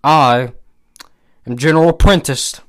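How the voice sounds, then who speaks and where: A man's voice: a little high, slow, fairly bright tone. Man reading as a sentimental small Union veteran. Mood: stirred, proud. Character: small shriveled gray-whiskered Union veteran, sentimental and earnest.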